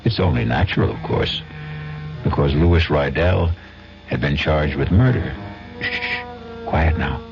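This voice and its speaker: An actor as a knowing, confiding host narrating an old-time radio mystery in a dramatic style. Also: male voice, a bass voice, huge pitch swings, measured pace, creaky, long pauses, music in the background, exaggerated voice. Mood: confiding, suspenseful. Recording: muffled old radio broadcast.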